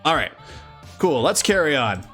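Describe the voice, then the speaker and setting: A man's voice: a little high, big pitch swings, normal speed, a bit bright, soft background music. A man hosting a livestream, chatting casually and affectionately with his viewers. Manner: affectionate, cooing to a pet. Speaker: livestream host.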